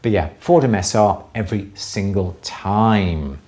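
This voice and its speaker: A man's voice: lowish voice, measured pace, darkish tone, slight background noise. A middle-aged man with a Welsh accent, explaining hands-on craft work casually to a live audience and repeating words for emphasis.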